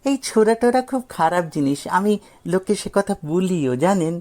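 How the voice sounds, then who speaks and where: Man putting on a high, fawning petty thief. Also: expressive, moderate pace, darkish tone. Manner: obsequious, hesitant, rambling. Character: sly, fawning petty village thief, a man making excuses.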